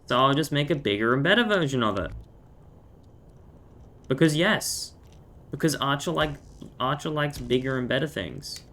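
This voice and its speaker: A man with an Australian accent and a croaky voice, chatting playfully and joking while he streams a game. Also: mid-range voice, animated, normal speed, pauses often, bright, forceful tone, a little hiss or hum. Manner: joking, playful, self-mocking. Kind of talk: casual gaming chat.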